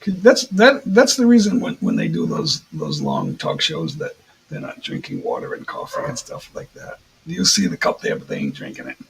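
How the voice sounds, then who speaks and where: A man's voice: high voice, moderate pace, vocal fry, low-quality recording. A man hosting a livestream, chatting casually and joking with his guests. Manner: chatty, jokey, animated. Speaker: livestream host. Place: home, livestream. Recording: faint hiss.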